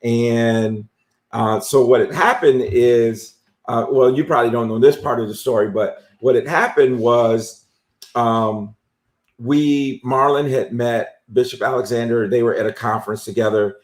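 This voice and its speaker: A man hosting a live interview show, talking in a relaxed, friendly way with lots of fillers. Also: medium pitch, unhurried, echoey room. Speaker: host. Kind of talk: casual interview on a live stream.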